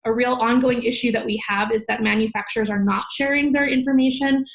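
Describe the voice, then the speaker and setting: Female interview guest, professor type. Slightly high pitch, lively conversational delivery, ready to laugh. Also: quick pace. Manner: animated, laughs readily. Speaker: podcast guest, law professor. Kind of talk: conversational interview, explaining her research.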